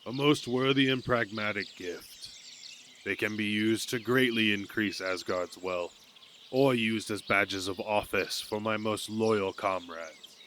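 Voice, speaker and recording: A man's voice: lowish voice, a little slow, a bit bright. A man voicing a stately, solemn king of the gods in a fantasy audio drama. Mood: approving. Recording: amateur recording with background noise.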